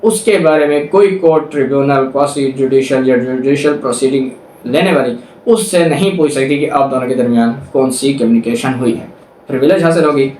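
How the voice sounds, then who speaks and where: A man's voice: mid-range voice, darkish tone, slight background noise. A man with a Pakistani accent giving a law lecture, reading out statutes and explaining them. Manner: slow, deliberate English reading, quicker Urdu explanations with English legal terms. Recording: echoey room, distant microphone.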